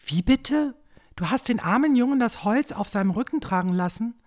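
A woman playing a caring but scolding village mother in a fantasy audio drama, expressive and reproachful. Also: fairly high pitch, normal speed, natural voice. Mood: scolding, indignant.

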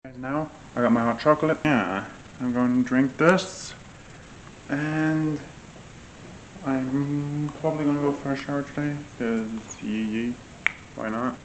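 A man's voice: mid-range voice, steady tone, unhurried. A young man in his twenties chatting offhand in a casual home cooking vlog, full of fillers. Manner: rambling, offhand, many fillers. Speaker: vlogger. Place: small home kitchen, indoors. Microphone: camera set on the counter, at a distance. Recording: echoey kitchen with background noise.

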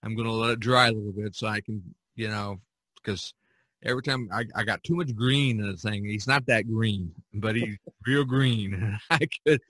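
A man's voice: lowish voice, fairly even intonation, moderate pace, sharp tone, no room sound. A man hosting a casual podcast, talking in a rambling, folksy way and repeating himself. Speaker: podcast host.

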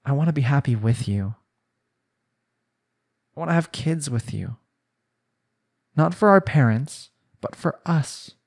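A man's voice: a little high, animated, unhurried, creaky, pauses often, a little mellow, full character voice. A young man playing a jealous, lovestruck husband in an intimate romantic audio roleplay, speaking in an earnest, pleading way. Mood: earnest, yearning. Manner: earnest, pleading, sighing delivery.

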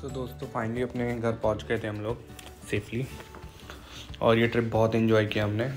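A man's voice: mid-range voice, little pitch movement, moderate pace. A man, chatting casually while driving on a road trip and thinking aloud, mixing in English words, filmed on a phone at arm's length inside a car. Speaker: vlogger. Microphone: phone camera at arm's length. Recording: loud music under the voice.

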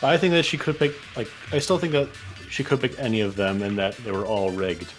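A man's voice: fairly low pitch, steady tone, a little fast. A man in a casual gameplay discussion, reasoning aloud and pressing his point as a fan dub voice actor. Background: game music.

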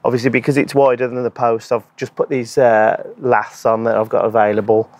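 A man in his thirties with a hoarse voice, relaxed and explanatory, demonstrating an installation outdoors in a garden on a lapel mic. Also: mid-range voice, brisk, dry recording. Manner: relaxed, explanatory, with frequent ums and so's. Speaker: EV charger installer and channel host. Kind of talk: hands-on installation demo. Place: outdoor garden beside a wooden shed.

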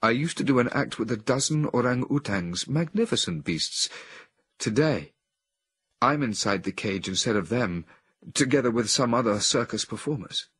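A man's voice: mid-range voice, expressive, normal speed, fairly bright tone, breathy edge, no room sound, pitched above the performer's own voice. A man voicing a woman who was once an animal tamer, telling of being caged, in an audiobook reading. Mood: resigned.

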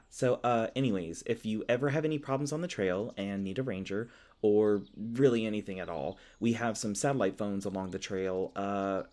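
A man's voice: on the low side, darkish tone, close to an everyday voice. A man playing a polite, hesitant, folksy elf park ranger in an audio roleplay. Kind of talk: ASMR audio roleplay acting.

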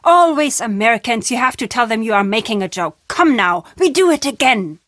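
A woman's voice: a little high, lively intonation, brisk, fairly bright tone, dry recording, studio quality. An actress playing a wry, fatalistic old woman storyteller in a video game, speaking dramatically. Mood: dry, teasing. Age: older. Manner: dramatic, emphatic storytelling with foreboding turns.

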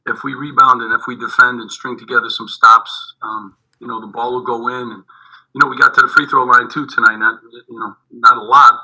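A middle-aged man, a head basketball coach, answering postgame questions over a video call, halting and repeating his words, with many fillers. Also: medium pitch, sharp tone, low-quality recording. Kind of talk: postgame press conference answers. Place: indoors in front of a team backdrop. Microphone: video call webcam.

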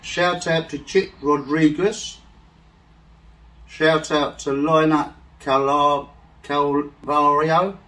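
A middle-aged English man reading shout-outs slowly and carefully in a casual home vlog, seated on a living-room sofa. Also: a little high, fairly even intonation, recorded from a distance, low-quality recording. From England. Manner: slow, soft-spoken, careful over names. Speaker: vlog co-host. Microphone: far camera.

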